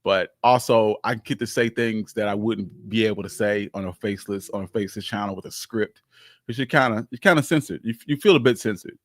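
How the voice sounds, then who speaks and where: A man hosting a casual, unscripted live history stream, speaking candidly in a halting, rambling way. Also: fairly low pitch, no room sound, clean studio sound. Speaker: livestream host, history storyteller.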